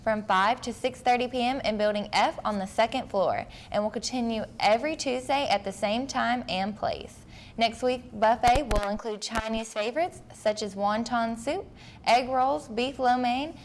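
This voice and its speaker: A woman's voice: a little high, normal speed, a little hiss or hum. A young woman anchoring a college news show, reading announcements in a friendly, upbeat way. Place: college TV studio.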